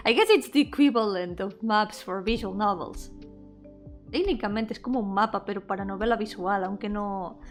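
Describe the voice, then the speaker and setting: A woman chatting casually on an art livestream, thinking aloud in a playful, self-deprecating way. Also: a little high. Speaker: VTuber art streamer and game developer. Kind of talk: casual livestream chat while drawing, switching between English and Spanish. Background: background music.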